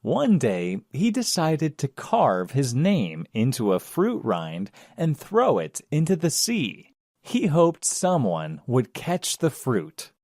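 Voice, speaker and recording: A man narrates a children's storybook at a slow, measured pace. His pitch swings widely as he tells the tale, and the recording is dry with no room around it. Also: huge pitch swings, a little mellow, dry recording.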